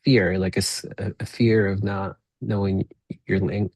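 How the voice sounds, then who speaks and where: Male podcast host from Peru, Spanish first language, lowish voice, dry sound, reflective and halting. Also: flat delivery, a little mellow, breathy edge, no room sound. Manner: reflective, paraphrases back, frequent restarts. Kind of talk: conversational interview.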